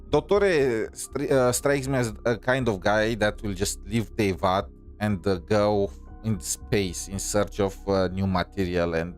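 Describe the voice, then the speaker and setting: A man livestreaming a game, giving casual, musing commentary with some hesitation. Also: lowish voice.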